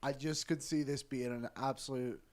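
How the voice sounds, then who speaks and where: A man hosting a sports podcast, chatting casually and reading out betting odds in a lively way. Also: medium pitch, moderate pace. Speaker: podcast host.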